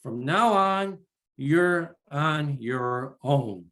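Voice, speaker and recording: A storyteller voicing a gruff, grasping chieftain father in a told legend, blunt and bargaining. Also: medium pitch, lively intonation, extremely slow, a bit bright. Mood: stern.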